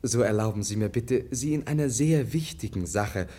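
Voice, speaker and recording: An actor in a 1950s German radio play, playing a gentle, naive young prince who speaks politely, earnestly and hesitantly. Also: male voice, mid-range voice, lively intonation, moderate pace, natural voice.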